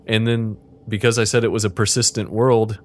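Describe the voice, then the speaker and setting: A man's voice: lowish voice, little pitch movement, fairly quick. A man casually narrating an interactive fiction game as its host, with a playful, joking manner.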